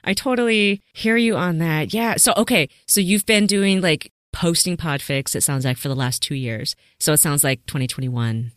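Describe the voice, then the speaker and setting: A woman's voice: low voice, normal speed, hardly any pauses, no room sound, studio quality. A woman hosting an interview podcast, encouraging her guest with laughs and exclamations. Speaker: podcast host. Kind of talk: casual interview chat.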